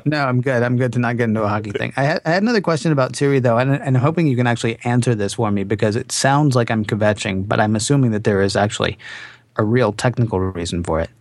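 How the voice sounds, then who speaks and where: A man's voice: medium pitch, quick pace, dry recording, clean studio sound. A man hosting a tech podcast, asking curious questions in a chatty way. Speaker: podcast host. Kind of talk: casual tech podcast chat.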